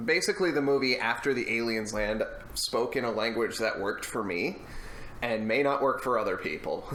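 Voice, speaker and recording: A man's voice: medium pitch, sharp tone, echoey room, a little hiss or hum. A young man co-hosting a casual film podcast, speaking in a wry, profane way. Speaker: podcast co-host.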